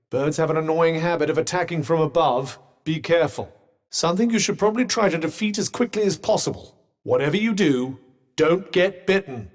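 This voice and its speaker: A man's voice: sits high, big-room sound. An actor voicing a sardonic male magic bracelet companion in a game, with dry, witty, teasing quips. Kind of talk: game voice lines.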